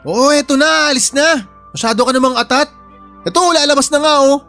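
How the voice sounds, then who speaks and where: A man's voice: extremely high pitch, fairly quick, pushed and bright, soft background music, heavily acted. A man playing a brash, spoiled young son who bullies and makes excuses in a melodramatic audio drama. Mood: blaming, dismissive. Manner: sneering, defensive, bullying.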